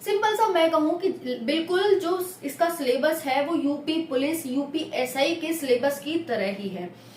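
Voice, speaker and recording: High-voiced Hindi coaching teacher, echoey room. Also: female voice, animated, unhurried, a little mellow, slight background noise. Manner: repeats checks like "clear?" and addresses viewers as companions. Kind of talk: explaining exam rules at a whiteboard. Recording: echoey room, recorded from a distance.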